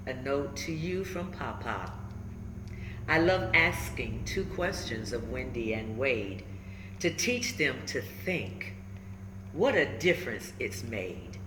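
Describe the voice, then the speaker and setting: A woman reading a children's book aloud, emphatic and instructive, pausing between short phrases and adding encouraging asides. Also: rumbling low. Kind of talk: animated storybook read-aloud with encouraging asides. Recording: echoey room with faint background noise.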